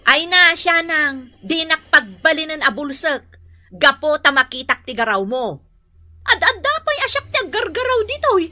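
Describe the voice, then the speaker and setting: A fed-up Ilocano shop owner in radio drama style. Her voice sits high and cuts very sharply, and she talks with the strict, emphatic air of someone in charge. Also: big pitch swings, normal speed, sharp tone, full character voice. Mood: irritated. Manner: nagging, emphatic. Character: strict, fed-up woman boss of a laundry shop.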